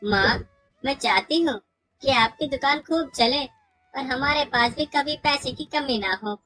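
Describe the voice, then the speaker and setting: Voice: high voice, a little slow, sharp tone, faint music behind, pitched above the performer's own voice. A woman voicing an earnest, caring young daughter in a village moral story, with a pleading delivery. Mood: sad.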